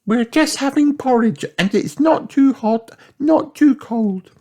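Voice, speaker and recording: A man voicing an excited, friendly little girl bear in a children's storybook. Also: very high voice, slow, pitched above the performer's own voice, heavily acted.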